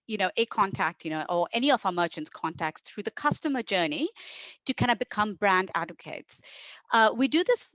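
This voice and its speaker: A middle-aged woman from India presents in a conversational, informal way. Her pitch sits in the middle range, and she sounds enthusiastic. The audio is dry and somewhat dull. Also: a bit bright, no echo. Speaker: marketing executive, guest presenter.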